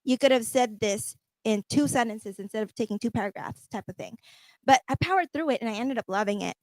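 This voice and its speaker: A woman's voice: fairly high pitch, brisk, no echo, low-quality recording. A woman chatting on a radio panel, telling stories in an emphatic, talkative way. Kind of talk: casual radio chat.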